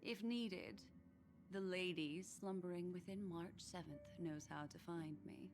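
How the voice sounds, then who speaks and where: A mysterious, elegant woman from a video game. She speaks softly and with composure in a lowish, breathy voice. Faint music sits behind her. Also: unhurried. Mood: tender farewell. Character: gentle, mysterious, elegant woman with a soft teasing streak.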